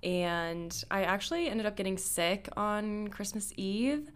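A woman's voice: medium pitch, animated, a little slow, clear, resonant tone. A young adult woman from Nebraska, co-hosting a podcast in chatty casual talk, often laughing and saying "like.". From Nebraska, USA. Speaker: podcast co-host. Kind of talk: casual chat.

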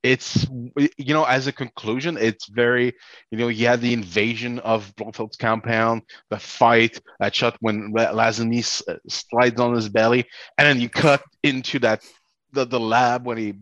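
A man's voice: mid-range voice, fairly even intonation, a bit bright, no echo. A man co-hosting a casual film discussion, rambling and stammering as he works through his points. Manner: halting, stammering, rambling delivery. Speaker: podcast co-host. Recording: dull lo-fi audio.

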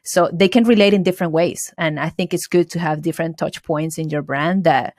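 A woman from Venezuela speaks with a Venezuelan accent and a low, deep voice. She talks earnestly about running her small business and often restarts her words mid-thought. The sound is dry and close, with no room echo. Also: brisk, a little mellow. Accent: Venezuelan Spanish. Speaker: guest, small business owner. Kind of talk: casual interview chat.

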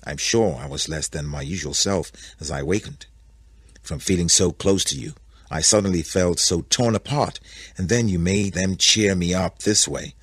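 Guy playing innocent first man praying, low husky voice, grateful, full of wonder, storybook style. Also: moderate pace, no echo. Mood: reverent, grateful. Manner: prayerful, wondering. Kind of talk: dramatic storybook character voice.

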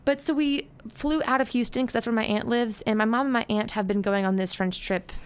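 A woman's voice: fairly high pitch, fairly even intonation, fairly quick, smooth. A woman in her twenties from the United States, hosting a podcast and chatting casually in a rambling way with fillers and self-corrections. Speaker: podcast host. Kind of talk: casual solo podcast chat.

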